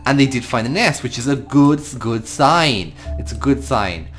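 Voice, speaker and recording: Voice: mid-range voice, lively intonation, moderate pace, a bit bright, soft background music. An Irish gaming host giving improvised storytelling commentary over a game, talking affectionately to the creatures. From Ireland.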